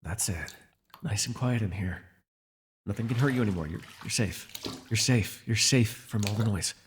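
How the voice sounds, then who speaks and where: A man's voice: fairly low pitch, moderate pace, slight background noise, lightly acted. A man playing a sardonic telepath villain who is a reluctant, protective mentor in a superhero audio roleplay, intense and emotive. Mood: tender, soothing. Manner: intense, emotive, swinging between biting and tender.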